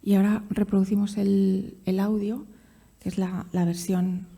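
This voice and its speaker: Woman doing an academic talk, dark soft tone w/ some fry, keeps pausing to find words. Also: medium pitch, flat delivery. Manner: halting, searching for words. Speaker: academic presenter at a film conference. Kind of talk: conference presentation, semi-improvised.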